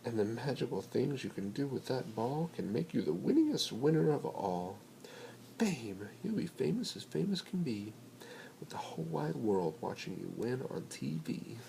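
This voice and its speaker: A man reading a children's picture book aloud in an expressive, playful, rhythmic way with dramatic pauses. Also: mid-range voice, gravelly. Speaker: bedtime story reader. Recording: noisy amateur home recording.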